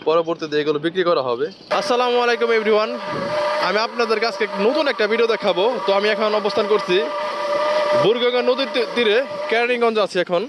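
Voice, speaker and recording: A man's voice: high-pitched, fast. A man with a Bangladeshi accent giving on-location vlog commentary, explaining what he sees in a repetitive, marvelling way. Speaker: video blogger. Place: outdoors at a riverside work site. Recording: noisy outdoor recording with vehicle ambience.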